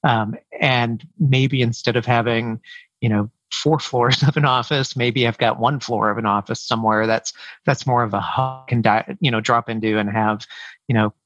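A man's voice: mid-range voice, steady tone, moderate pace, a bit bright, no room sound, highs cut off. A man, head of sales, answering interview questions in a casual, hesitant way with many fillers and restarts. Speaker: head of sales, podcast guest. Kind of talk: conversational interview.

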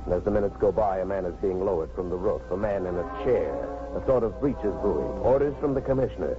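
A man's voice: deep voice, fast, natural voice. Dramatic American male narrator an actor, confiding storyteller for vintage radio suspense, muffled sound under music. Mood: suspenseful. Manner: dramatic, present-tense, confiding. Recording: dull vintage radio recording.

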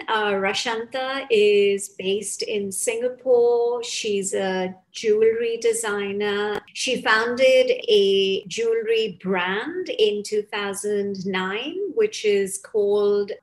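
A woman hosting a podcast, introducing and interviewing as she goes. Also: medium pitch.

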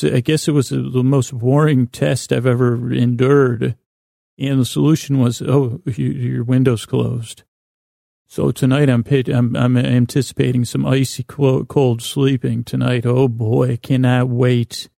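A man hosting a bedtime podcast with a creaky voice, rambling in a halting, self-deprecating way that wanders and breaks off mid-word. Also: medium pitch, warm tone, dry recording, clean studio sound. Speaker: podcast host. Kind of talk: rambling bedtime monologue to help listeners sleep.

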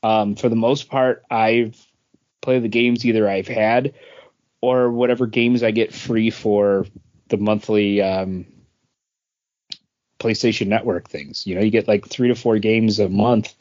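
A man's voice: fairly low pitch, steady tone, measured pace, darkish tone. Rambling man with a dull lo-fi sound. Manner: rambling, filler-heavy storytelling. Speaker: podcast co-host. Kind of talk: casual chat.

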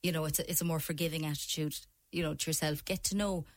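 A woman's voice: sits low, fairly even intonation, fast. An Irish woman giving her opinions as a panel guest in a chatty, colloquial, meandering way. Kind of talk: casual discussion, opinion.

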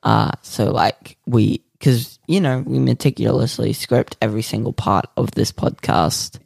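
A man co-hosting a podcast, rambling through absurd improvised stories in a deadpan way with lots of fillers. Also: fairly low pitch, creaky, husky, no room sound. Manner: slow, rambling, deadpan nonsense with many fillers. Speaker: podcast co-host.

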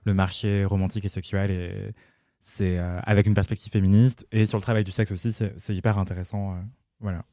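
Fast-talking man, low and dry. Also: level tone, warm tone, no room sound. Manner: quick and enthusiastic, stumbling over fillers. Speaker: podcast co-host. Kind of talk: casual chat.

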